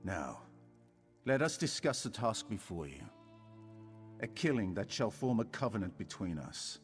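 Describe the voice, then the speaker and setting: Deep, slow, sinister cult recruiter. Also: male voice, big pitch swings, faint music behind. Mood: silky, commanding. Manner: theatrical, deliberate, silky menace. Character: sinister, solemn male recruiter of an assassin cult. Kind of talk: video game dialogue.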